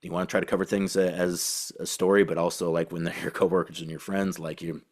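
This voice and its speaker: A man hosting a team podcast, speaking casually and haltingly as he thinks aloud in a tribute to a colleague. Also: sits low, flat delivery, a little mellow. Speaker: podcast host and team beat reporter.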